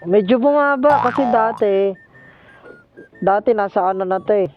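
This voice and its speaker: A man's voice: sits high, normal speed. A Filipino man chatting casually and teasingly with a passenger as a motorcycle taxi rider, speaking politely through a helmet intercom on the road. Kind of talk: casual joking chat with passenger. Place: outdoors riding a motorcycle in traffic. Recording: muffled intercom mic, loud road noise. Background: loud vehicle and street noise.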